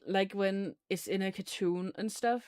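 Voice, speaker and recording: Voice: mid-range voice, steady tone, unhurried, darkish tone, smooth, dry recording, clean studio sound. A streamer giving casual, rambling and blunt commentary on a game, swearing freely.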